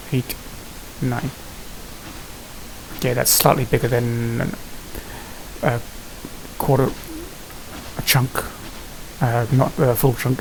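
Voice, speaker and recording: A gamer with a creaky, fry-laden voice at a middling pitch gives casual commentary on a game. The speech is muttered, like thinking aloud. A faint steady hiss sits under the voice. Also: poor audio. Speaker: gamer, channel host. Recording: hissy microphone with steady noise.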